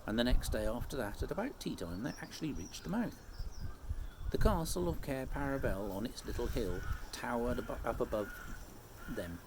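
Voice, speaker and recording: A man reads a children's chapter aloud with a hugely varied, animated pitch. His voice is mid-pitched and he tells the story expressively, like a teacher to pupils. The homemade recording has clear background noise. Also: normal speed. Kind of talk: expressive children's audiobook-style read-aloud.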